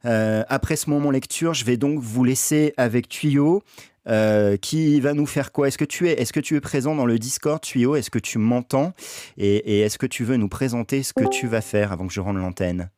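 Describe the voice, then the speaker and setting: A man's voice: mid-range voice, moderate pace, a little mellow, no room sound, studio quality. A man hosting a livestream reading session, talking casually with many hesitations and restarts. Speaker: stream host reading a book aloud.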